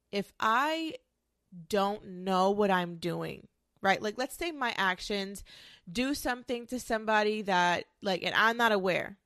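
A woman's voice: medium pitch, a bit bright, no echo, clean studio sound. A young woman with a congested, nasal voice from a cold, giving frank, chatty advice as a podcast host and swearing casually.

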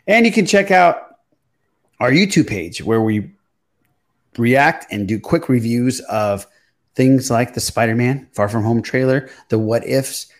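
Guy hosting a geek podcast, regular pitch, chatty and informal, bit sweary. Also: expressive, a little slow. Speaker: podcast host. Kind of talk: casual pop culture podcast chat.

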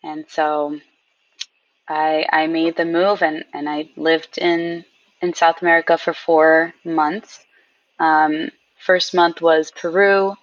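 Woman guest on a call, lowish voice, a bit distant and muffled, tells stories lively. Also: slow pace, no room sound. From Queens, New York. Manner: animated storyteller, acts out quotes. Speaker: guest, game company founder. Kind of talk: casual interview chat.

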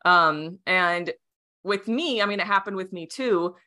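Bright-voiced woman, chatty and rambling. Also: lowish voice, a little slow. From United States. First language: Romanian. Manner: rambling, many fillers and restarts, laughs often. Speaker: podcast guest. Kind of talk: casual interview chat.

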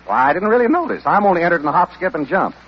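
A wisecracking male stranger in a park in a vintage radio comedy, with dry, quick delivery and a lively pitch. He sounds a bit off-mic on a dull, noisy old broadcast. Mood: wry.

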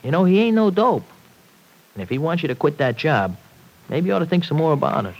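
An actor playing a brash, skeptical young working man in an old-time radio serial, slangy, folksy and excitable. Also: a little high, brisk, muffled recording. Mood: resigned, concerned. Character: brash, folksy young dairy worker, skeptical, protective boyfriend. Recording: vintage radio drama recording.

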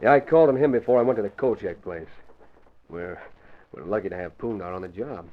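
A man's voice: on the low side, expressive, a little fast, lightly acted. An actor playing a crook, a henchman reporting matter-of-factly to his boss, in 1940s radio style. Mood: assured. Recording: thin, phone-like 1940s radio recording.

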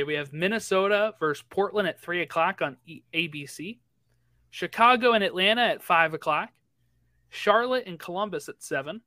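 Bright, forceful male podcast co-host, close and dry; casual soccer chat, runs through fixture lists briskly. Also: high-pitched, no echo. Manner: rattles off fixture lists, chatty.

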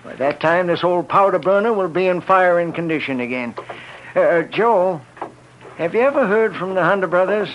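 Frontier gunsmith: fairly high voice, folksy and musing, muffled old radio western. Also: male voice, lively intonation, normal speed. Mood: folksy, curious. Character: friendly folksy old-West gunsmith, a curious man. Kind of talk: vintage radio western acting. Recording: muffled, slightly noisy vintage radio recording.

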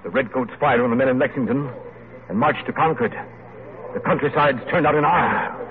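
An actor playing a stagecoach driver giving grave news with urgency, in an old radio drama. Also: male voice, mid-range voice, moderate pace, lightly acted. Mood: urgent. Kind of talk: 1940s-50s radio drama acting. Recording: tinny, very noisy old radio recording.

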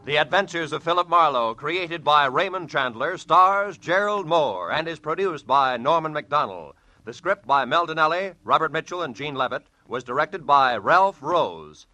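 An American man announcing on network radio in formal, measured, scripted 1940s style. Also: a little high, lively intonation, pushed and bright, no echo. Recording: vintage 1940s radio broadcast with music.

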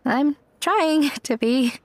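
A woman's voice: high voice, slow pace, no echo. A woman playing an awkward, easily mortified young aspiring actress in a comedic audio drama, flustered and dramatic. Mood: embarrassed.

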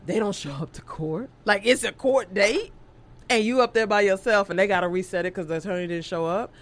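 A woman in her thirties from New York, an attorney chatting casually, animated and laughing as she acts out what people say. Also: medium pitch, fairly quick. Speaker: attorney, guest. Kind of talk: casual podcast chat.

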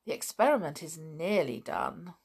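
A woman's voice: low voice, lively intonation, moderate pace, a little mellow, slightly rough. A woman reading as George, a proud tomboy girl. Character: bold, proud tomboy girl who owns an island.

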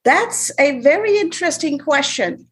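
A woman's voice: high voice, lively intonation, fairly bright tone. Composed woman from Sri Lanka. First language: Tamil or Sinhala, not English. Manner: measured, composed, formal. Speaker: guest, business coach. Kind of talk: interview storytelling.

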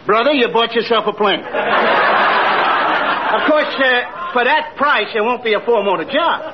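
An actor playing a slick, chummy small-time huckster with glib, wheedling sales patter in an old-time radio comedy. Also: male voice, very high-pitched, normal speed. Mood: chummy, eager. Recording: muffled noisy vintage radio, audience.

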